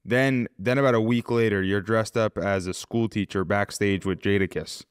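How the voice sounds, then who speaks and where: A man's voice: fairly low pitch, steady tone, moderate pace, smooth, no room sound, clean studio sound. A man hosting a podcast who cuts in to steer his guest's story. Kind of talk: casual chat, prompting the guest.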